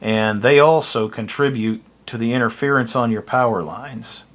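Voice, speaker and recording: An American man presents a hobby tutorial off the cuff. His voice sits at an average male pitch. He explains things in plain terms, hesitating as he finds his words. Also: normal speed. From United States. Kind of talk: informal unscripted tutorial explanation.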